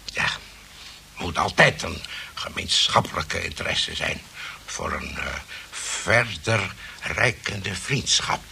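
Pompous gentleman, very gravelly, low. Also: animated, takes it slowly, full character voice. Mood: smug. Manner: pompous, hesitant, mocking, repeats phrases. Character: smug, pompous gentleman suitor, needling his rival. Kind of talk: radio play dialogue acting. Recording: noisy old radio play recording.

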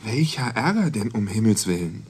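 An actor playing a sober young police dispatcher in a German radio crime drama, restrained and concerned, patiently questioning. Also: male voice, on the low side, big pitch swings, moderate pace. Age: young adult.

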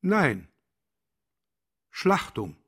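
An actor playing a cynical, condescending older mentor in a radio play, with a husky voice and a mocking, patronizing manner. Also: male voice, on the high side, very animated, drawn-out words, heavily acted. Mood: cutting, sardonic.

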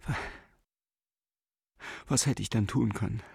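A frightened man begging for mercy in a radio drama letter. His voice is husky and creaky, recorded close and dry. He speaks haltingly and imploringly, sometimes sinking into a whisper. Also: lowish voice, darkish tone, dry recording, heavily acted. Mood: pleading, fearful. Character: desperate frightened German man pleading by letter with old friend.

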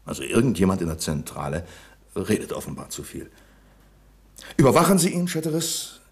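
Commanding superior, fairly low creaky voice, suspicious and curt, radio crime play. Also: male voice, wildly swooping pitch, brisk, exaggerated voice. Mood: stern, guarded. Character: stern, suspicious male superior giving orders. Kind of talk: German radio crime comedy acting.

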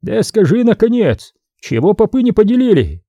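A man voicing a curious foreign male ship's helmsman pestering with questions, husky and dry. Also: high-pitched, sing-song, moderate pace, warm tone, dry recording, voice pushed up, heavily acted. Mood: puzzled.

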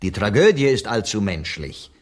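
An actor in a radio play voicing a sober, apologetic interpreter who relays others' words in a measured, deliberate way. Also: male voice, lowish voice, big pitch swings. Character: sober, apologetic male interpreter between two quarrelling writers.

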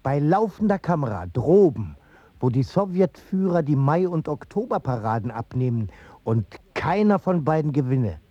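An actor playing a wry storyteller, narrating measured anecdotes in a German radio play. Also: male voice, fairly high pitch, very animated, mellow, no room sound, lo-fi sound.

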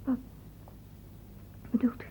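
A woman's voice: slow, warm tone, natural voice. An actress playing a guarded, evasive teenage girl in a radio drama, giving hesitant, defensive answers. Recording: noisy, dull lo-fi old radio recording.

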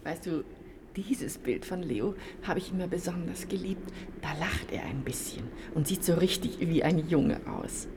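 An actress playing a doting, fretful and insistent wife in a radio play, with a theatrical manner. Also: female voice, lowish voice, big pitch swings, unhurried, creaky, exaggerated voice. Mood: wistful. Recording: noisy old radio recording.

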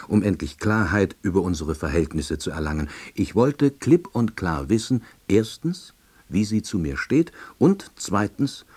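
A man's voice: low-pitched, a little mellow, husky. An actor playing an earnest, awkward, self-important salesman, narrating his life drily and wordily in a radio drama. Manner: dry, wordy, officialese.

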